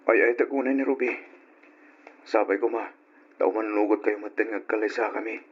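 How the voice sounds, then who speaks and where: A man's voice: on the low side, fairly even intonation, brisk, husky, dull audio. A man acting an apologetic, needy young father, hesitant before his parents, in an old Ilocano radio drama. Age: young adult. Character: apologetic, needy grown son with a young family.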